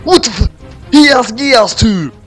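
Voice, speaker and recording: A man voicing an excitable, cowardly rebel commander, exclaiming in a story reading. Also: extremely high pitch, a little slow, voice pushed up, full character voice. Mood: shocked. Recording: music playing behind the voice.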